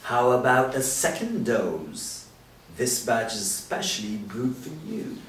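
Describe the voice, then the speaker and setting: An actor at a table read playing a mocking, sinister masked villain. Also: male voice, mid-range voice, lively intonation, slow pace. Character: sinister male villain in a sack mask, mocking. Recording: echoey room from far off with faint noise.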